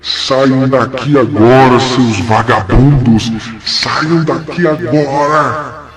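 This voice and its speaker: A man playing a tormented, arrogant commander of dark spirits in an audio drama, ranting theatrically and repeating his phrases. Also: mid-range voice, fairly even intonation, slow pace, lightly acted. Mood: enraged. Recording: roomy echo under loud music.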